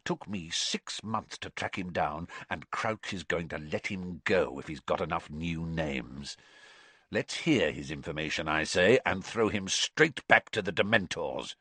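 A man voicing a gruff, hostile old male auror who growls and mutters low. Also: a little slow, a bit bright, no echo, full character voice. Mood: growling.

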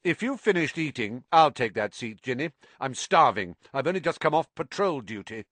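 A man's voice: medium pitch, big pitch swings, normal speed, a bit bright, no echo, pitched above the performer's own voice. A man voicing a prim, fussy teenage prefect who stammers when flustered. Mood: brisk.

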